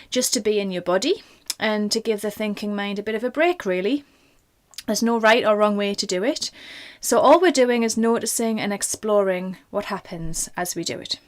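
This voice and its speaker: A woman leading a guided meditation in a gentle, informal, reassuring manner. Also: fairly high pitch. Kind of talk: guided body scan meditation.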